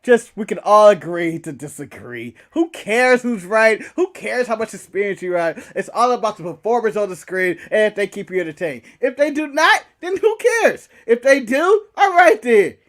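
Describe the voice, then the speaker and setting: A man with a notably high voice talks as a podcast co-host. His delivery is casual and joking, with an amused, mouthy edge that suits loose banter between friends. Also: very animated, moderate pace, hardly any pauses, a bit bright. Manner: amused, laughs often.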